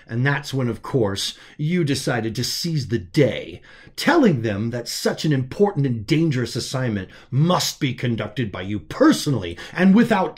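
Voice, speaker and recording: Male reader as loyal lieutenant, medical advisor, plain-spoken, explains to his boss, deferential, audiobook character. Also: on the high side.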